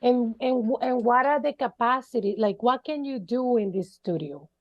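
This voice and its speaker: Higher-pitched woman co-host on a muffled call. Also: normal speed, no echo. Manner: eager, short encouraging replies and questions. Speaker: podcast co-host. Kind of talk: casual interview chat.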